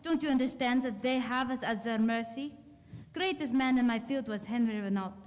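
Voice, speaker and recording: A woman's voice: fairly high pitch, moderate pace, darkish tone, close to an everyday voice. A woman acting as a grave, resigned doctor in a radio horror drama, earnest and fatalistic. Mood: grim resignation. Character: grave, resigned doctor battling supernatural beings. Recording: reverberant hall.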